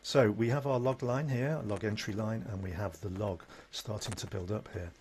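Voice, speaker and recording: A man presenting an unscripted, conversational software tutorial, explaining things step by step as an instructor. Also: on the low side, fairly even intonation, normal speed. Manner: instructional, conversational, with tag questions. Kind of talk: unscripted screen-recording tutorial. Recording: noticeable background noise.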